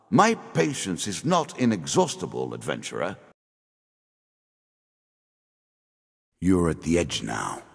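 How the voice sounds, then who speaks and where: An ageless, condescending dealer from a fantasy video game, with an extremely low voice. His delivery swings expressively through ominous, theatrical phrases, and a long reverb trails every word. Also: gravelly, a bit bright, breathy edge, exaggerated voice. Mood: stern, disdainful. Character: ancient, immortal, sardonic dealer of a deadly card game. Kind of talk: scripted fantasy game character lines.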